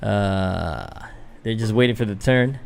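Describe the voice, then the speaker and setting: A man's voice: lowish voice, slow pace, gravelly, soft background music. A young man from the Philippines giving loose live commentary on a boxing fight, full of fillers, chatting with viewers as he goes. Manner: casual, hesitant, many fillers, answers chat. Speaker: boxing commentator and channel host.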